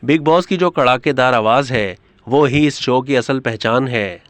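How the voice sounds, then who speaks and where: A man's voice: mid-range voice, measured pace, fairly bright tone, no room sound. A man reading a scripted narration for an entertainment news video.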